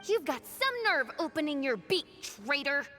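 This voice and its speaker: A woman's voice: high voice, very animated, normal speed, bright, forceful tone, faint music behind, heavily acted. A woman voicing a proud young princess in a video game, with a dramatic, declamatory and grandiose delivery. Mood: indignant. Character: proud, theatrical young princess facing her mocking dark self. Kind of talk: theatrical video game voice acting.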